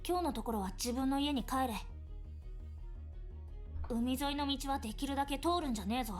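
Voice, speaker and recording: An actress voicing a rough-spoken, blunt and protective young woman in a visual novel game, gruff and tomboyish. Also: high voice, racing, soft background music, natural voice. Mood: stern warning. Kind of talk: voiced visual novel dialogue.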